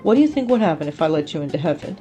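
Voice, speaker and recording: A woman voicing a patient, tender male angel with a coaxing, gently blunt manner in a story reading. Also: deep voice, big pitch swings, rapid-fire, highs cut off, soft background music. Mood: blunt, chiding. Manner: calls listener honey and darling, coaxing.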